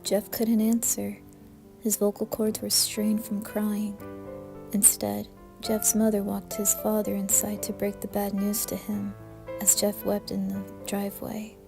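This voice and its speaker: A woman's voice: medium pitch, completely flat delivery, measured pace, gravelly, music in the background. A woman reading scripted horror narration as a storyteller.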